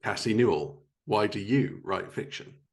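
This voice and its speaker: A man's voice: on the low side, lively intonation, measured pace, creaky. A man hosting a podcast, talking casually and conversationally with a guest. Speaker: podcast host. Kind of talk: casual interview chat.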